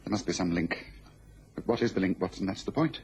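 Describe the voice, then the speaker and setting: An actor playing a keen, self-assured Victorian gentleman detective in a radio drama, brisk and emphatic, with a British accent and a husky voice. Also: deep voice, a little hiss or hum, natural voice. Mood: musing.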